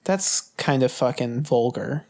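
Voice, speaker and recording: A woman's voice: very deep voice, measured pace, a little mellow. A woman co-hosting a casual podcast, bantering in a joking, mock-indignant, foul-mouthed way. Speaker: podcast co-host.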